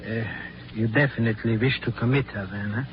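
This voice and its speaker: A tactful asylum doctor played by a man speaks hesitantly and deferentially in a mid-range voice. It is an old 1940s radio play, muffled and clearly noisy. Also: mid-range voice, fairly even intonation, fairly quick, natural voice. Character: polite, soothing male asylum doctor. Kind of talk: 1940s radio drama acting.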